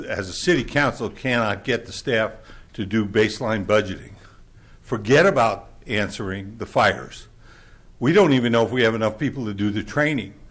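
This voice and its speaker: A man with a loud voice giving a blunt, exasperated public comment at a council chamber podium, lecturing the council. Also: lowish voice.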